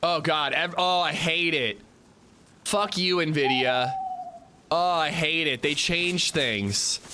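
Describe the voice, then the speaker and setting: A man livestreaming and chatting casually with viewers, a bit congested, swinging between upbeat shout-outs and low mumbles. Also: takes it slowly, a little hiss or hum.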